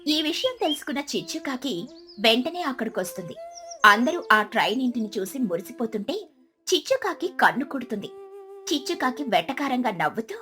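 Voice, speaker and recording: A woman narrating a scripted children's story in an expressive storytelling manner. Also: high voice, brisk, soft background music.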